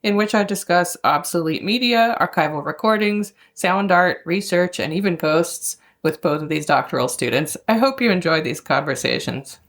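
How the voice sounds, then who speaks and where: A woman's voice: lowish voice, animated, normal speed, hardly any pauses. A woman hosting a podcast, giving prepared introductions and putting interview questions to a guest. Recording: roomy, echoing sound.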